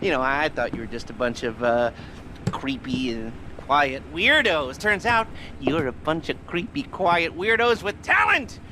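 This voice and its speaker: A man's voice: animated, unhurried, noisy background. An actor playing a jittery, heavily medicated male heist planner in a video game, rambling and laughing nervously. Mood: giddy. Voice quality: nervous, rambling, giggly, manic swings in pitch. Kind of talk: video game cutscene acting.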